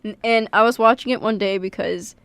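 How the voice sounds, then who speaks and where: A woman's voice: mid-range voice, fairly quick, a bit bright, clean tone, no echo. A young woman chatting casually and emotionally on a radio show, with a gushing, dramatic and emphatic way of talking. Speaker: radio show co-host and script reader.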